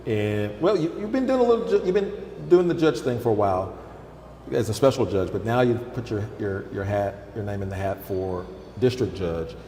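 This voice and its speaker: A man's voice: fairly low pitch, lively intonation, mellow, a little hiss or hum. Joking man host, echoey room. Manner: jokey, quick questions with chuckles. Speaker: interview host. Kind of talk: casual interview.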